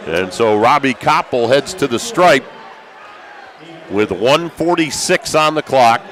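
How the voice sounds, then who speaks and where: An American man doing live basketball play-by-play as a broadcaster, excitable and raising his voice with the action. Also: medium pitch, slow pace, a faint crowd. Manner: excitable, calls out action with raised voice. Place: courtside in high school gymnasium. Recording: live gym broadcast with background noise.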